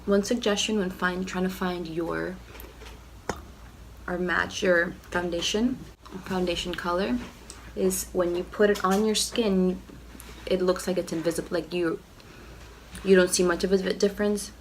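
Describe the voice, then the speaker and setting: A young woman with a cold, talking through a casual makeup tutorial at home in a nasal, rough, low voice, filling her sentences with "like" and "you know". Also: fairly even intonation, unhurried, long pauses, slight background noise, poor audio. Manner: chatty, lots of "like" and "you know". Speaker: beauty vlogger.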